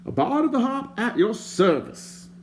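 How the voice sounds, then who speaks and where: A man's voice: very high-pitched, sing-song, a little slow, slight background noise, pitched above the performer's own voice, exaggerated voice. A man voicing a wordy, theatrical male bard in an audiobook, courtly and self-mocking. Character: chatty, flowery wandering male bard.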